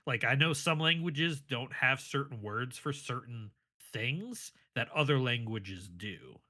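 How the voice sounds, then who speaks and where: A man's voice: mid-range voice, lively intonation, slow pace, sharp tone. A man co-hosting a casual podcast, curious and asking questions, laughing into his sentences. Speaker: podcast co-host.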